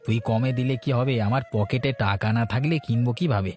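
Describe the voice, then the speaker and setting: A man's voice: mid-range voice, fairly quick, a little mellow, soft background music, lightly acted. A man voicing a sensible, weary working husband in a cartoon story, plain-spoken and homely in his talk. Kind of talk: Bengali cartoon story dialogue.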